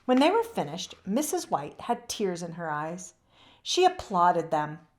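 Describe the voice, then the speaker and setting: A woman narrating a children's book aloud in an expressive storybook style. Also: lowish voice, unhurried.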